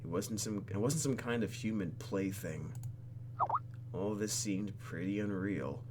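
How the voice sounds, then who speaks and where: A man's voice: lowish voice, a little slow, very noisy. A man hosting a let's play, reading a game script aloud with joking, slangy asides.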